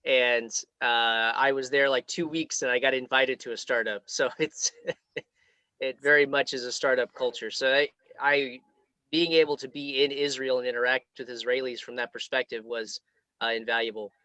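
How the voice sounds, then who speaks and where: A young American man with a bright, forward voice talks through his answers on a student panel. He speaks casually, with frequent ums and uhs. The recording is close and dry. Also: medium pitch, normal speed, dry recording, muffled recording. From Kansas, United States. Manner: relaxed, plenty of um and uh. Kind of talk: conversational Q&A answers.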